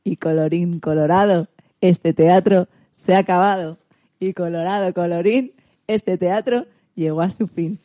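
A woman's voice: fairly low pitch, a little slow, dark tone, a little hiss or hum. A woman narrating a fairy tale for radio theatre in a storybook style.

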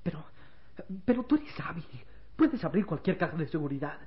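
A man playing an anxious, wry young boy companion in a vintage radio serial, with a husky, pleading voice. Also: a little high, sing-song, normal speed. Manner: worried, pleading, questioning. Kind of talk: vintage Spanish-language radio serial acting. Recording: dull, lo-fi old radio serial recording.

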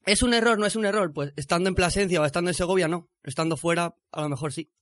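High-pitched Spanish man chatting on a panel. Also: fast talker, a bit bright, dry recording. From Extremadura, Spain. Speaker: podcast panelist. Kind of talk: casual panel discussion.

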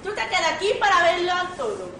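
An actress playing a brisk, bossy young bride-to-be in an amateur comic radio play, emphatic and decided. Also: female voice, very high-pitched, big pitch swings, pushed and bright, full character voice. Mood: indignant, decided. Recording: roomy distant stage recording, noisy audience.

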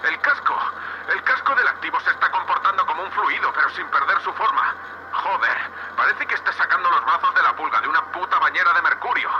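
A man's voice: high voice, brisk. A man with a Castilian Spanish accent playing a blunt, foul-mouthed space salvage boss in a sci-fi audio drama, heard over a radio link. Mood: stunned, urgent. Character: gruff, sweary space salvage boss, awed by alien tech. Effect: radio comms filter. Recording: muffled, noisy space-suit radio link.

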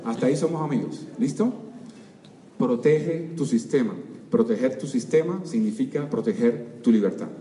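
An older man with a Cuban accent giving an energetic motivational seminar talk, constantly quizzing his audience. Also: medium pitch, moderate pace, noisy background. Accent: Cuban Spanish. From Cuba. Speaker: seminar speaker. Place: large auditorium. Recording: echoey hall, distant mic, faint crowd.

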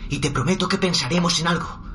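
A man's voice: fairly high pitch, quick pace, lightly acted. A man playing a bossy, suspicious young survivor of a zombie apocalypse in a radio serial, arguing sharply. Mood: firm, argumentative. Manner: argumentative, sharp-tongued, interrupting. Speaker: actor in an audio drama. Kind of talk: dramatic radio serial acting. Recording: clearly noisy background ambience.

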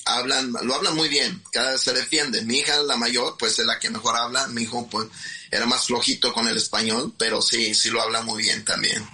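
A middle-aged man with a Mexican Spanish accent tells his story as a chef. His tone is strikingly bright, his pitch sits in the middle, and he talks in a candid, meandering way. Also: fairly even intonation, brisk, poor audio. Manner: candid, rambling, frequent tag questions. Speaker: chef and restaurant owner. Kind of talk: interview answers.

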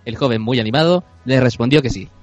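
A man with a Chilean accent narrating an improvised comic radio play in halting, mock-solemn phrases. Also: mid-range voice, no room sound, faint music behind. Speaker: narrator on a comedy improv show.

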